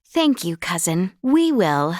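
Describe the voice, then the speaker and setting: Young princess, earnest, gracious, clear voice, formal courtly speech, fantasy video game, dry close studio sound. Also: female voice, lowish voice, very animated, darkish tone, no echo. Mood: polite, composed. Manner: formal, archaic courtly phrasing, measured and polite. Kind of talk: scripted video game voice acting. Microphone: close studio mic, dry.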